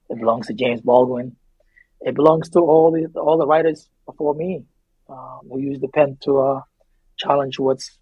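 A very husky, raspy man with a Somali accent speaks as a guest in a reflective interview. He is earnest and emphatic, circling back over phrases for weight. The recording is dry and somewhat dull. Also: mid-range voice, no room sound. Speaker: interview guest, memoir author.

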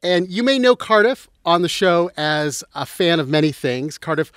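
A man's voice: fairly high pitch, expressive, normal speed, fairly bright tone, clean tone, no room sound, studio quality. A man hosting a podcast, chatting and joking playfully with colleagues. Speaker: podcast host. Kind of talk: casual chat.

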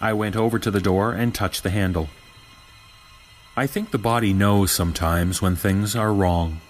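Man acting as low-voiced young guard telling horror. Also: normal speed, soft background music, close to an everyday voice. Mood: uneasy, suspenseful. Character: young male security guard recounting an eerie discovery. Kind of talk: scripted horror story narration.

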